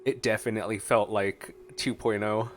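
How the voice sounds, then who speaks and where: Low-voiced man giving casual game commentary. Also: steady tone, normal speed, fairly bright tone, slight background noise. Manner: wry, joking asides. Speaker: gameplay streamer. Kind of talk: casual gameplay reaction commentary. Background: faint game music.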